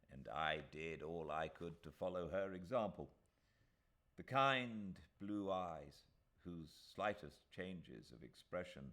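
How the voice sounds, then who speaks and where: Deep-voiced British man narrating a classic novel. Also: fairly bright tone. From Britain. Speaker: audiobook narrator and host. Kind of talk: dramatic literary storybook narration.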